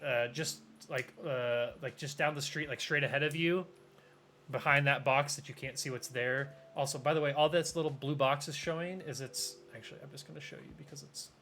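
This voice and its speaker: A man's voice: a little high, sharp tone. A young man running a tabletop game as game master, rambling with plenty of fillers and restarts. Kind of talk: casual tabletop game narration.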